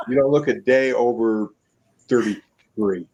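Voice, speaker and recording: A man's voice: mid-range voice, dull audio, background noise. Rambling older man on a video call. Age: 70s. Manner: rambling, halting with many restarts. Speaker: guest. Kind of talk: casual storytelling. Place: home room.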